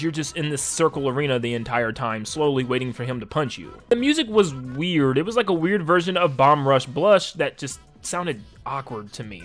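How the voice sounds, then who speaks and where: Higher-pitched man, enthusiastic game commentary over music. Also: a bit bright. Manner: enthusiastic, conversational, emphatic. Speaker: video game reviewer, host. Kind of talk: casual video essay commentary. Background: music under the voice.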